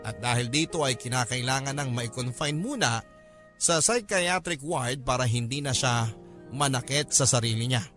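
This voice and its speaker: A man's voice: mid-range voice, lively intonation, moderate pace, fairly bright tone, faint music behind. A man reading a first-person letter as a radio drama narrator, in an earnest, respectful storytelling manner.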